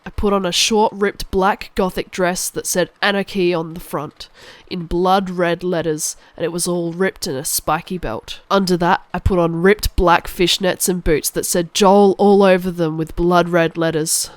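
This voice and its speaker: A woman playing a self-absorbed teenage goth girl, narrating an animated fan fiction in a melodramatic, whiny way. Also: lowish voice, normal speed, no echo, natural voice. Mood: gloomy.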